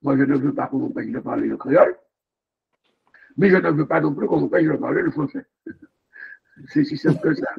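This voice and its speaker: Male jury member on a tinny call line; gravelly, very raspy, emphatic. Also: on the high side, fairly quick, pauses often. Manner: emphatic, rhetorical questions. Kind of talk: academic remarks at a thesis defense.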